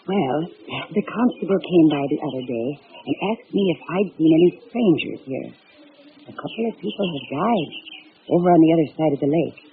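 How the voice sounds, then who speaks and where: An actress playing a folksy, gossipy neighbor woman with a halting manner in a vintage radio thriller, on a tinny, noisy recording. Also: low voice, expressive. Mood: uneasy, confiding.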